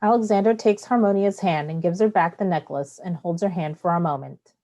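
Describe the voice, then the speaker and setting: A woman's voice: fairly low pitch, brisk, mellow. A woman reading stage directions as the narrator of a play, in scripted narration.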